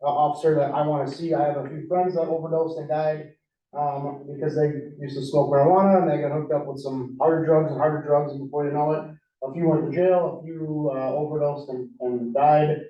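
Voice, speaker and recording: A man from Wisconsin presenting at a public meeting as a police drug unit sergeant, earnest and halting with lots of fillers. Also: a little high, steady tone. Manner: earnest, hesitant with many fillers. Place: large town meeting hall. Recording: echoey meeting hall, far mic, dull.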